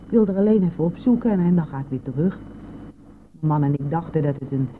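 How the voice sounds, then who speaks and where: A woman's voice: low-pitched, expressive, fast talker. An actress playing a modest, concerned middle-aged mother-in-law in a radio play, speaking in a hesitant, earnest, plain way. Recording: noisy, phone-like old radio recording.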